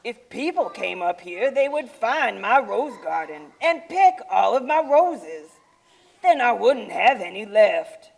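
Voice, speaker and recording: Woman as a slow, creaky-voiced gentle yeti. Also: a little high, very animated, full character voice. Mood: gentle, wistful. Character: misunderstood, lonely, gentle male yeti living atop mountain. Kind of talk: children's puppet show acting.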